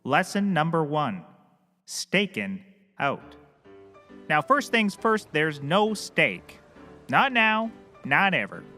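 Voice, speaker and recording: A hapless, self-important male private eye played by a comic actor speaks in a high, bright voice with wildly swinging pitch. He is eager and theatrical, as in a comedy series. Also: sing-song, takes it slowly, pauses often, soft background music, exaggerated voice. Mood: self-important instructing. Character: hapless, overconfident, goofily enthusiastic male private detective. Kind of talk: scripted comedy acting.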